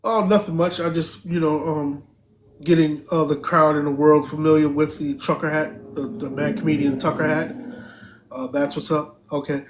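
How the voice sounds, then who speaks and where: A man's voice: on the high side, fairly even intonation, normal speed, slight background noise, rough recording. A man hosting a live stream, chatting casually, thanking viewers and stopping often with fillers.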